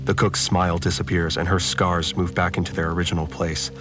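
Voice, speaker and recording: An actor playing an uneasy farmer, giving measured, subdued first-person narration in an audio drama. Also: male voice, low-pitched, little pitch movement, music in the background, close to an everyday voice. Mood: tense, watchful. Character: uneasy male farmer recounting events in a confined community.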